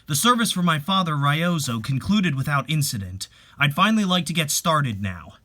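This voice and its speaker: A man's voice: on the high side, big pitch swings, normal speed, sharp tone. A man playing a solemn, commanding family elder who leads a ceremony, declaiming fervently in a dramatic reading.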